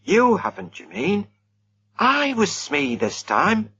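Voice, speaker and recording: A man voicing an excitable young man who calls out loudly. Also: sits high, slow, gravelly, husky, no room sound, lo-fi sound, voice pushed up, full character voice. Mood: excited.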